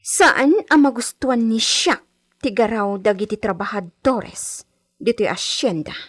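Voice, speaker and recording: A woman playing a haughty, spoiled young landowner's daughter in a Filipino radio drama, with a Filipino accent and drawn-out, Spanish-flavoured phrasing. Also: mid-range voice, expressive, soft background music. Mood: scornful, imperious. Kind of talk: Ilocano radio drama acting.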